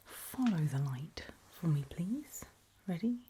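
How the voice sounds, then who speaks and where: A British woman whispers gently and unhurriedly in a breathy, reassuring ASMR medical roleplay as a doctor. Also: on the low side, very animated, slow pace, slight background noise.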